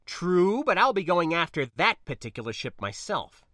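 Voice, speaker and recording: A man's voice: high voice, sing-song, moderate pace, sharp tone, dry recording, studio quality, pitched above the performer's own voice, exaggerated voice. A man voicing a stubborn, wry space pilot in an audiobook, colloquial, defensive and insistent. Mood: matter-of-fact.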